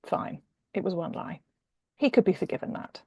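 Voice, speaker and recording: Female author-narrator, expressive romance reading, slightly gravelly mellow tone, dry close sound. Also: fairly low pitch, normal speed, no room sound. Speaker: author reading from own novel. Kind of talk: expressive audiobook-style narration of a humorous romance.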